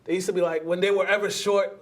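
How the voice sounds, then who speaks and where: A man's voice: high-pitched, level tone, fast talker. A man hosting a casual group sports talk show, repeating his openings to hold the floor. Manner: restarts phrases to hold the floor.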